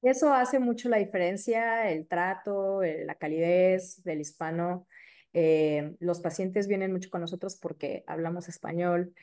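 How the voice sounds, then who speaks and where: A woman in her thirties with a Mexico City accent talks through her career in an easy, chatty way. Her voice sits low and sounds mellow and dark. She keeps a relaxed flow and drops frequent fillers. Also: unhurried. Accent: Mexico City Spanish. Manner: warm, chatty, many fillers like "este" and "eh". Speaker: periodontist guest. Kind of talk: casual interview chat.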